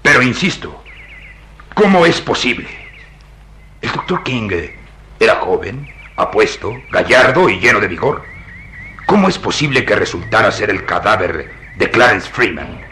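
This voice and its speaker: An actor playing a stout, baffled and excitable police inspector in a radio drama, theatrical, incredulous and emphatic. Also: male voice, on the low side, measured pace, slight background noise, full character voice. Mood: exasperated, pleading. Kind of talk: old radio drama acting.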